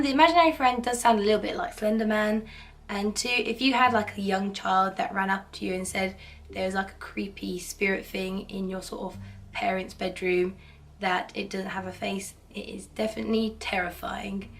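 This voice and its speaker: Hoarse woman narrating spooky tales. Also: medium pitch, steady tone, normal speed. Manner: cheerful, chatty, playfully spooky. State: hoarse from a cold. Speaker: horror storytelling channel host. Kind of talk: casual spooky story narration. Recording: slightly distant mic, faint background noise.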